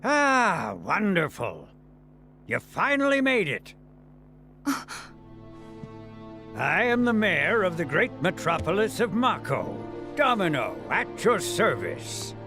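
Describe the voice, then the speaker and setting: Pompous, high-pitched, theatrical mayor. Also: male voice, huge pitch swings, bright, forceful tone, soft background music, heavily acted. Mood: grandiose. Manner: pompous, sly, drawn-out delivery. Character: theatrical, smug male mayor with secret loyalties. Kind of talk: dramatic video game acting.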